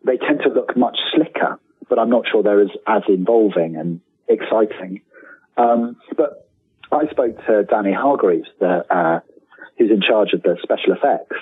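A man speaking as an interview guest, telling anecdotes in an enthusiastic, halting way, often repeating the starts of his phrases. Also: on the low side, breathy edge. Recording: thin phone-like line with room echo.

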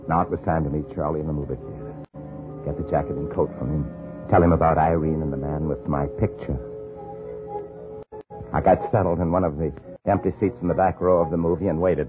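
An American actor playing a tense, dogged man on the run in a 1950s radio thriller. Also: rumbling low. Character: tense hunted man, framed for murder, urgent and dogged. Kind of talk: 1950s radio thriller acting and first-person narration. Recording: muffled old radio broadcast with music.

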